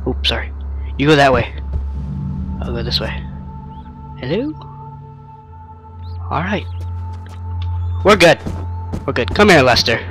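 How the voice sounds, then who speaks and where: A young man talks his way through a horror game on a headset mic, slow and drawn out, with his pitch swinging widely between murmurs and yelps. He jokes with an imaginary sidekick to calm his nerves. Loud eerie game music plays underneath. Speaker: game streamer. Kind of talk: horror game let's play commentary.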